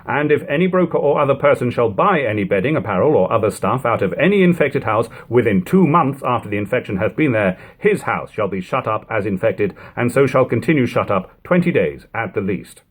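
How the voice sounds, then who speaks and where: Male book narrator, husky tone, very animated pitch movement, formal and measured delivery of archaic text. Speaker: narrator reading a book aloud. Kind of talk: audiobook-style reading of an archaic text.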